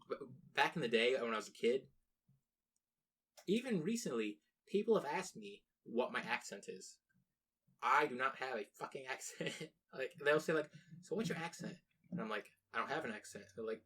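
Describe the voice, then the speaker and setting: A young American man co-hosting a loose podcast conversation, voice in an ordinary middle pitch. He rambles, restarts his sentences and swears freely as he talks. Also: expressive, normal speed, fairly bright tone, slightly rough, low-quality recording. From United States. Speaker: podcast co-host. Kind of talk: casual chat.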